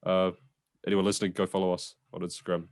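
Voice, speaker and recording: A man's voice: low voice, level tone, fast, a little mellow, dry recording, studio quality. A man co-hosting a podcast in casual film chat, talking in a hesitant, rambling way and repeating himself. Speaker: podcast co-host.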